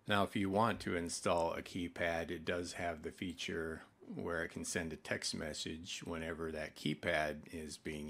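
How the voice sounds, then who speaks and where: Male product presenter, low register, conversational explainer delivery with hesitant pauses. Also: vocal fry, a bit bright. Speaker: product presenter for an online retailer. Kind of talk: informal product demonstration narration.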